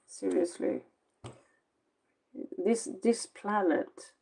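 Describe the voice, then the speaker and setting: A tired woman with a Norwegian accent, talking slowly through a late-night tarot reading. Her voice is gravelly with plenty of fry. She rambles bluntly and sarcastically in her second language. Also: lowish voice, expressive, darkish tone. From Norway. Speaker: tarot reader.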